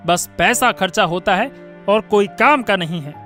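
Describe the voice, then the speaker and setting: A man plays a harsh village father in a cartoon. His voice is very high-pitched and sharp, blunt and scolding, over faint music. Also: expressive, moderate pace. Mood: dismissive, irritated. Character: harsh, dismissive, hard-drinking village father. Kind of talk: Hindi cartoon story voice acting.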